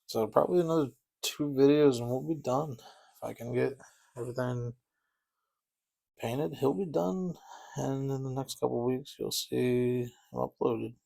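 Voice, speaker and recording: A man's voice: medium pitch, a little slow, creaky, warm tone. A man chatting casually and rambling a bit as he paints miniatures, easygoing and self-deprecating. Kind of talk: casual chat while painting.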